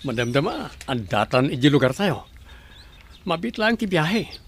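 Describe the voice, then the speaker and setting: A man's voice: fairly high pitch, very animated, measured pace, a little hiss or hum. An actor playing a husband home from abroad, earnest and concerned for his wife. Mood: eager.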